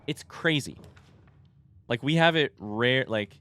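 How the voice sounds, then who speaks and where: A man's voice: mid-range voice, slow pace, a bit bright, no echo. A man doing casual video game commentary, thinking aloud in a rambling, informal way, his voice a bit under the weather. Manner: rambling, informal, mild swearing. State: voice affected by being sick. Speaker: video game streamer playing blind.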